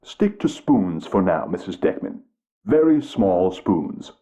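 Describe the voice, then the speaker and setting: An actor playing a condescending, self-important tutor of the paranormal in a mystery game. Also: male voice, deep voice, big pitch swings, slow pace, dark tone, slight background noise, exaggerated voice. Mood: smug. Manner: pompous, condescending. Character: smug male con-man tutor, middle-aged. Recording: tinny phone-like game audio.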